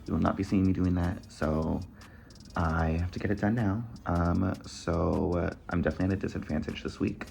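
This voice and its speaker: A man competing in drag, talking quickly and a little rushed in a confessional interview. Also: low voice, steady tone, creaky, a little mellow, slight background noise.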